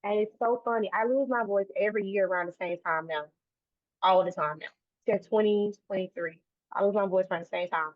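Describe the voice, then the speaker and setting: A woman's voice: mid-range voice, a little fast, dry recording. A woman guest talking earnestly in a casual interview, restarting and repeating phrases as she goes. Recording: dry, tinny phone-like line.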